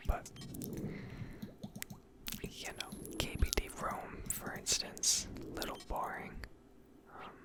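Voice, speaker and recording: A woman's voice: slow, pauses often. Whispering woman doing ASMR game commentary. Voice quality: breathy unvoiced whisper. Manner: rambling, unscripted, self-deprecating. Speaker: gaming video creator.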